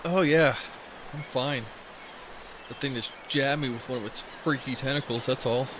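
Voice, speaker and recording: A man acting in an audio drama as an injured wisecracker putting on a brave front, flippant and excitable. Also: medium pitch, normal speed, natural voice. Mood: flippant bravado. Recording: noisy outdoor ambience.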